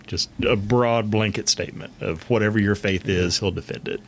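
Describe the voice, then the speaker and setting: A man's voice: on the low side, normal speed, a little hiss or hum. A man guesting on a casual podcast, agreeable, giving short replies. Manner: agreeable, brief replies, chuckles often. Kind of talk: casual chat.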